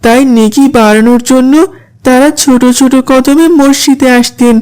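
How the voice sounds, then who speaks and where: High, clear woman-voiced earnest little schoolboy. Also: fairly even intonation, moderate pace, darkish tone, natural voice. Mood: respectful, earnest. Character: earnest, devout young schoolboy, polite and dutiful. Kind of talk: children's moral cartoon dialogue.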